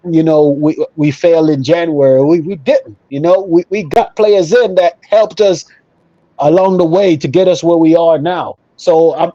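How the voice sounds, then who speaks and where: A man's voice: a little high, mellow, no echo, muffled recording. A man talking casually and emphatically as an opinionated football fan on a panel show, repeating himself for emphasis. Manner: emphatic, repetitive, conversational.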